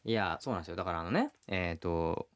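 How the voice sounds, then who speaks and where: A man's voice: sits low, animated, quick pace. A man who works as a voice actor, co-hosting a radio show with casual banter.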